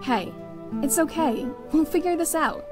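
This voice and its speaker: A bubbly young female adventurer from a fantasy visual novel, acted out by a woman, speaks in a high, swooping voice. She is warm and playful with a caring streak, and music plays clearly behind her. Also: lively intonation, a little slow. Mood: soothing. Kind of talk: visual novel character dialogue.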